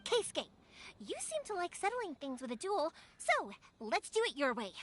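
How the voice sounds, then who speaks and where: A woman's voice: extremely high pitch, huge pitch swings, moderate pace, a bit bright, heavily acted. A woman voicing a spirited, headstrong young fireworks maker in a video game, emphatic and impassioned. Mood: defiant, resolute. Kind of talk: English video game voice acting.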